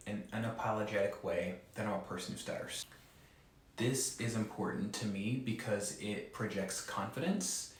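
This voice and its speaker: A man's voice: on the low side, very echoey. A man who stutters gives an earnest, direct instructional talk as a presenter for an advocacy group.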